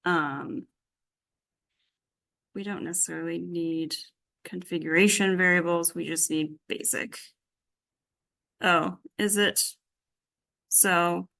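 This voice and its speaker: A woman's voice: on the low side, fairly even intonation, slow, creaky, pauses often, fairly bright tone. A woman giving an informal live coding demonstration as instructor, tentatively thinking aloud as she works. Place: indoor group workshop session.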